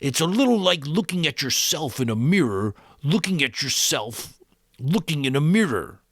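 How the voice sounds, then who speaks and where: A man voicing a wise, patient old male mentor in an audiobook, measured and gently probing. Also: on the high side, big pitch swings, no room sound, clean studio sound, pitched above the performer's own voice. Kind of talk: audiobook character voice.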